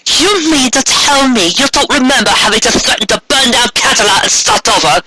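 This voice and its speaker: A man's voice: extremely high pitch, fairly quick, heavy background noise, pitched above the performer's own voice. A man voicing a defiant, bitter alicorn princess in a storybook reading, accusing and sarcastic. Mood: incredulous sarcasm.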